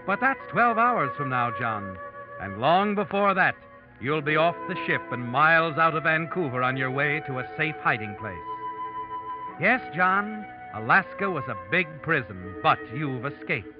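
An actor playing an all-knowing, taunting narrator in a 1940s radio suspense show, dramatic and menacing. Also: male voice, on the high side, big pitch swings, a little slow. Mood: mock reassuring. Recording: dull old radio recording. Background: prominent dramatic music.

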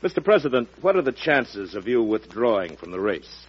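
A man's voice: medium pitch, very animated, moderate pace, breathy edge. An actor playing a respectful but uneasy party chairman in a vintage radio drama, deferential yet frank. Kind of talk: 1940s-50s radio drama acting. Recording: dull vintage radio recording.

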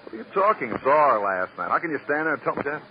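An actor playing a defensive, jittery waiter in a radio crime drama, slangy and insistently repeating himself. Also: male voice, a little high, very animated, fast. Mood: agitated. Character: defensive, jittery waiter under police questioning. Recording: muffled, hissy old radio recording.

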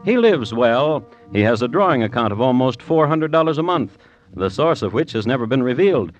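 An actor playing a wry, sardonic host and narrator of crime stories, deliberate and deadpan in wording, in 1950s radio style. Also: male voice, medium pitch, soft background music, natural voice. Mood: wry, matter-of-fact. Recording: dull vintage radio recording.

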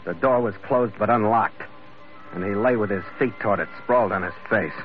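An actor playing a tough, wry sailor and bodyguard in an old radio drama, terse and matter-of-fact. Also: male voice, fairly low pitch, brisk, faint music behind, lightly acted. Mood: grim narration. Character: tough, wry Irish-American sailor hired as bodyguard, narrating. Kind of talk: 1940s-50s radio drama acting, hard-boiled narration. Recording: dull vintage radio recording.

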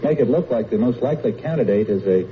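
A man's voice: medium pitch, animated, fast talker. Polite, hesitant man on old radio. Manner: earnest, polite, slightly hesitant. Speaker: quiz show contestant. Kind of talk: answering quiz questions. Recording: noisy, muffled old radio broadcast.

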